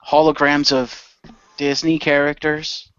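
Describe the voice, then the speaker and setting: Male role-play player, mid-pitched, skeptical and dry. Dull recording with little room sound. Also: monotone, slow, a bit bright, clean tone, no room sound. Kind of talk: improvised in-character questioning.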